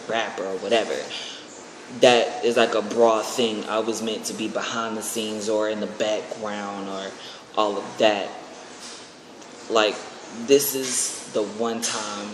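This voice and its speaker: A man with an American accent talking casually in a rambling, informal vlog style, often restarting his phrases. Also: on the low side. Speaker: aspiring singer and video creator. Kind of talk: casual personal vlog announcement. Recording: very echoey, reverberant room with faint noise.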